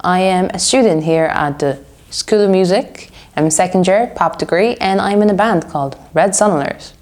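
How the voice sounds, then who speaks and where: A woman's voice: lowish voice, moderate pace, a little mellow. A woman of twenty-one, talking casually and earnestly in an interview, giving her opinions. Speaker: music student and band musician being interviewed.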